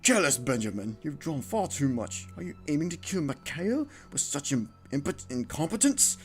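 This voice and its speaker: A man's voice: fairly high pitch, big pitch swings, normal speed, faint music behind. A man voicing a stern, severe father and physician in a gothic story, giving harsh commands in an attempted British accent sliding into Scottish. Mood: angry scolding. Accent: attempted British slipping into Scottish.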